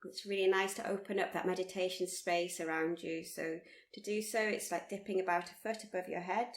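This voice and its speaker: A woman teaching guided meditation, speaking in a soothing, gently encouraging, unhurried way with repeated phrases. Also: on the low side, steady tone. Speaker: meditation teacher leading a body scan. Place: live workshop.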